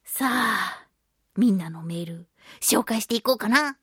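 A woman's voice: mid-range voice, expressive, a little slow, fairly bright tone, husky, no room sound, clean studio sound. A woman hosting a radio talk show, chatting casually and expressively as she talks with listeners. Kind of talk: casual chat, reading and answering listener mail.

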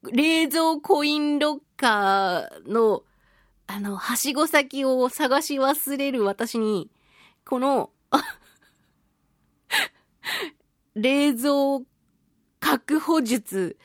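A woman voice actress hosting a chatty solo radio show, slightly nasal from a mild head cold, with an animated, playful and self-deprecating manner. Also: high-pitched, extremely slow, pauses often, dry recording, studio quality. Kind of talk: chatty solo radio talk with listener mail.

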